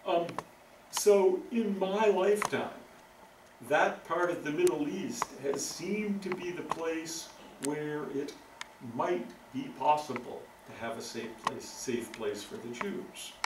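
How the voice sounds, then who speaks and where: An older man giving a relaxed, thoughtful lecture with frequent ums, recorded by a far camera in a meeting room. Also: a little high, slow pace, echoey room, noisy background. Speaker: guest lecturer. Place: meeting room with green walls.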